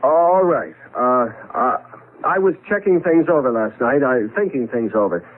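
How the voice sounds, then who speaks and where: A man's voice: medium pitch, animated, normal speed, lightly acted. An actor playing a nervous, evasive crooked businessman in 1970s radio drama, hesitant and stammering. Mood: contrite, evasive. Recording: muffled vintage radio broadcast.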